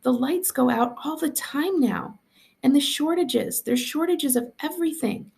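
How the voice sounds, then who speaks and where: A woman's voice: sits high, measured pace, gravelly, a little mellow, pitched above the performer's own voice. A woman reading a storybook aloud as an agitated, defiant schoolboy, urgent and insistent. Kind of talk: storybook dialogue read aloud.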